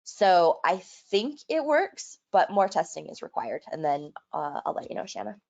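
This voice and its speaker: A woman with a slightly low-pitched voice leads an online software walkthrough. Her delivery is explanatory and businesslike. The sound is a bit muffled, as from a lo-fi internet call. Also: sing-song, moderate pace, creaky. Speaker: software trainer and presenter. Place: online video call or webinar.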